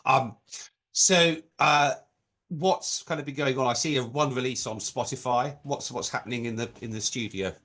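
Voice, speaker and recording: A man's voice: medium pitch, normal speed, a bit bright. A man hosting a casual music interview, who rambles and stammers through his questions with a self-deprecating tone. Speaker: interviewer, show host.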